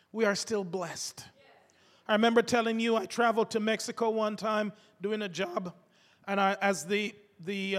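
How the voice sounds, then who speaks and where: A man preaching a sermon to his congregation, his voice gravelly from a cold, fervent and calling out to the listeners. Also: sits high. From United States. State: has a cold. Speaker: pastor. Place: church sanctuary. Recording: echoey hall reverb.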